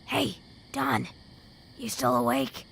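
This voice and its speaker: A woman playing a wary, sardonic wasteland traveler in an audio drama, dry and blunt, with a gravelly voice. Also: high voice, fairly even intonation, slow, a bit bright, slight background noise, full character voice. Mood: hushed, wary. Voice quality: gravelly, creaky. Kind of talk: fan audio drama acting.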